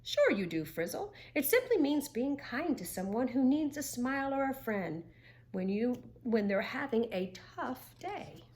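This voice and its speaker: A woman's voice: a little high, very animated, a little slow, darkish tone. A woman voicing Mister Owl, a wise, kindly older male owl who explains things patiently in a children's story.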